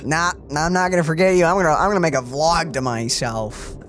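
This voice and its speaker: A man's voice: fairly high pitch, quick pace, a bit bright, a little hiss or hum. A man giving casual, blunt and wryly joking reactions as co-host of a video game playthrough. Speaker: let's play co-host. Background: game music.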